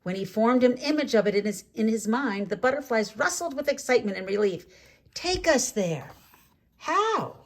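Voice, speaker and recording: A woman reading a children's story aloud in an expressive, deliberate way with dramatic phrasing. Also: fairly high pitch. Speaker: storybook reader. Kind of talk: read-aloud children's story narration with asides.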